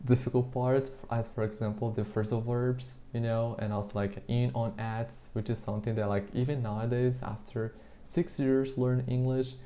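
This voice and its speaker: A man's voice: medium pitch, level tone, normal speed, few pauses, warm tone. A man whose first language is Portuguese, chatting in English as the interviewer, talking on and on while filling his sentences with "like" and small restarts. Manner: chatty, hesitant, leans heavily on the filler "like". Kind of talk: casual street conversation.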